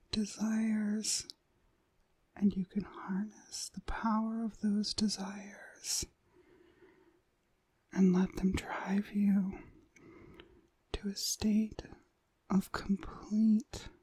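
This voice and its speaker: A seductive witch hypnotist, played by a woman, whispers very slowly in a heavily breathy voice. She is commanding and possessive, lulling the listener with deliberate phrases in an intimate ASMR roleplay. The sound is close and dry. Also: mid-range voice, warm tone, no echo. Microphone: close, dry microphone.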